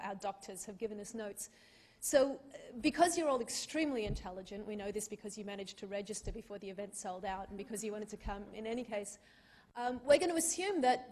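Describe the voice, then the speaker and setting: A woman moderates a tech panel in an auditorium with dry wit and articulate phrasing. Her voice sits at an ordinary middle pitch. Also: a little mellow. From Australia.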